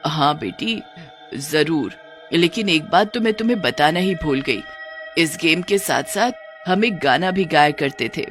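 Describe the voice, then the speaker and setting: A gentle, motherly village woman from a cartoon story, played by a woman narrator. She speaks lowly and instructively, as if to her child, over light music. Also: animated, measured pace. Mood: reminiscing.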